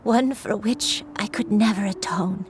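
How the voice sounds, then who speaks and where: Actress as elderly crane spirit in human guise, tender grandmotherly manner, slow. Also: mid-range voice, a little hiss or hum, lightly acted.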